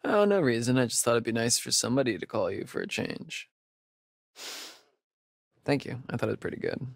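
A man's voice: mid-range voice, very animated, vocal fry, no room sound. Wisecracking young American guy, dry recording. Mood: casual, puzzled. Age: young adult. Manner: casual, sarcastic, halting asides. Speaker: video game voice actor. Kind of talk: naturalistic video game dialogue.

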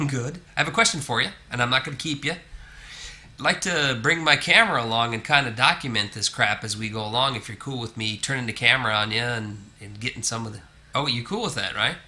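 A man's voice: mid-range voice, a little fast, sharp tone. A man filming his own road trip, chatting casually to the camera with joking asides. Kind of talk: casual self-narration to camera. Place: on the road and in a parking lot.

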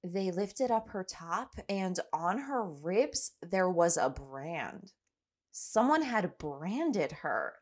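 A woman playing a shaken but determined young woman, telling grim events in an audio drama with halting, deliberate delivery. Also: lowish voice, big pitch swings, a little mellow, full character voice. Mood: grave, haunted. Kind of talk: audio drama narration and dialogue.

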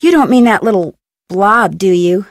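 A woman's voice: medium pitch, lively intonation, moderate pace, no room sound, clean studio sound, natural voice. A woman playing a curious, polite young detective in a video game.

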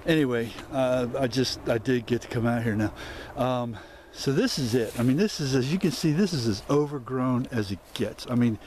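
A man narrates as he pushes through thick woods, holding his camera as he goes. He talks in a loose, rambling way, full of ums and restarts, at a middling pitch. A faint outdoor hiss sits under the voice. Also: moderate pace, hardly any pauses, darkish tone. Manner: rambling, many fillers and restarts. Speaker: explorer vlogger. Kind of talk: walk-and-talk vlog narration. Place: dense overgrown woods outdoors. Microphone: handheld camera mic while walking.

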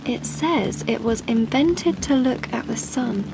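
An assertive high-voiced young woman. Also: unhurried, creaky, noisy background. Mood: insistent. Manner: insistent, explanatory. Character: young woman, Mark's assertive, persuasive companion. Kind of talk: audio play monologue and dialogue.

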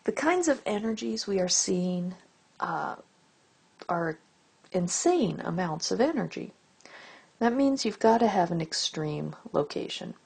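A woman lectures at a slow, measured pace, in a fairly low voice with a creaky edge. She explains things step by step, leaning deliberately on the key words. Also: sing-song, a little mellow. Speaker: astronomy lecturer. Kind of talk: explanatory lecture over slides.

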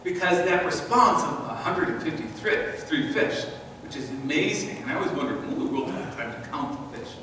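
A middle-aged man preaching a sermon as pastor in a church sanctuary, animated and gesturing as he walks before the altar. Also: high-pitched, moderate pace, noisy background. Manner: animated, sweeping arm gestures while walking. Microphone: far camera in the church. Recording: echoey church from a distance.

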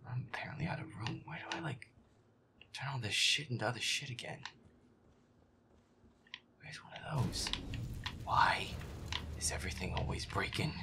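Man acting a whispery, gravelly, feisty werewolf boyfriend. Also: mid-range voice, animated, moderate pace, pauses often. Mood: exasperated grumbling. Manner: sweary, exasperated, muttering to himself. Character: feisty, grumbling young male werewolf boyfriend playing a scary game. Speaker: gameplay performer. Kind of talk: hushed in-character gameplay commentary.

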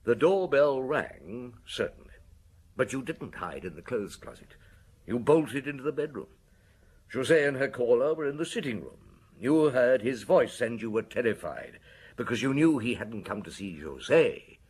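A man's voice: medium pitch, very animated, moderate pace, a little mellow, husky. An actor in a radio drama playing a shrewd, weary, stern police chief, blunt and scornful as he interrogates. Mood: firm, explaining. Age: middle-aged.

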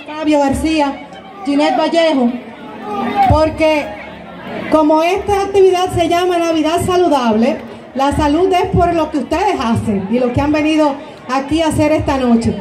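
A woman speaking formally from an outdoor stage at night, calling names and opening an event on a handheld mic. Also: high voice. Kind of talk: public address opening an event. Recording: outdoor stage with crowd noise.

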